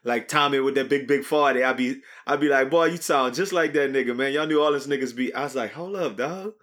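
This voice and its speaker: A man's voice: mid-range voice, brisk, a bit bright. A young man hosting a podcast, chatting loosely with lots of laughter and rambling asides. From Arizona. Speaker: podcast host. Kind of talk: casual banter.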